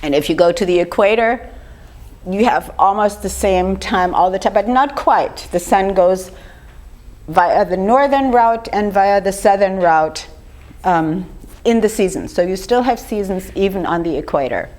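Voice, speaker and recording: An older woman with a slightly rough voice gives an informal lecture, talking conversationally and putting questions to her audience. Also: medium pitch, expressive, a little slow, darkish tone. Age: 50s or older. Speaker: speaker giving a lecture on raw food.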